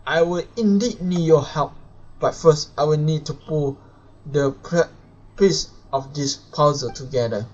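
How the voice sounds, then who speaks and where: Slow, halting male game streamer, non-native English. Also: fairly high pitch, darkish tone. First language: not English. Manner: halting, stumbling read-aloud delivery. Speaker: live streamer. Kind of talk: live game stream, reading story dialogue aloud. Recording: dull lo-fi mic with music behind.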